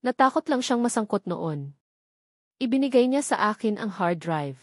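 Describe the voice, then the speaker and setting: Female narrator as story's deferential investigator, a man reporting plainly, mid female pitch. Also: expressive, moderate pace, darkish tone, no echo, clean studio sound, natural voice. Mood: earnest reporting. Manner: respectful, matter-of-fact. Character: male investigator, deferential, reporting to his boss. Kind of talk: audio story character dialogue.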